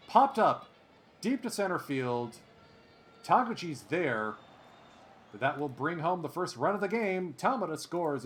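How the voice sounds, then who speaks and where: A man doing play-by-play commentary over a baseball sim game, excitable and chatty, drifting into asides. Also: a little high, slight background noise. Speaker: let's play host.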